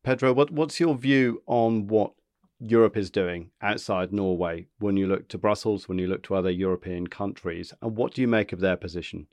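A man's voice: fairly low pitch, steady tone, normal speed, darkish tone, dry recording. A male science reporter hosting and moderating a panel discussion in a conversational tone.

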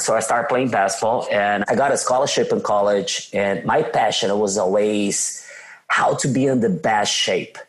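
Brazilian guy with a thick accent, guest on an interview, tells his story lively and plays out what people said. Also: fairly low pitch. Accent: Brazilian Portuguese. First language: Portuguese. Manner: animated, acts out his own past dialogue.

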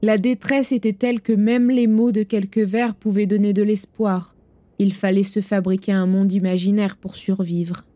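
A woman narrating an audio fiction in a sober, measured way. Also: medium pitch, little pitch movement, warm tone, no echo.

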